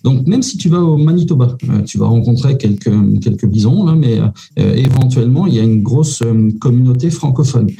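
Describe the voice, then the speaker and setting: Hesitant man, mellow dark voice. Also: medium pitch, animated, fairly quick, vocal fry, hardly any pauses, rough recording. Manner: hesitant, many "euh" fillers, informal. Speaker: immigration consultant guest. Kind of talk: casual advice chat.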